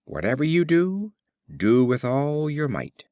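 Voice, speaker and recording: A man's voice: a little high, big pitch swings, a little slow, darkish tone, no echo, clean studio sound. A man voicing a practical mother in a storybook fable, giving her young son firm, emphatic instruction. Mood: firm advice.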